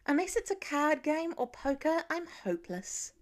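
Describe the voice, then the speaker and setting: A sardonic teenage girl, as a woman reader plays her in a storybook. Her delivery is theatrical, high and swooping, full of mock drama. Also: big pitch swings, moderate pace.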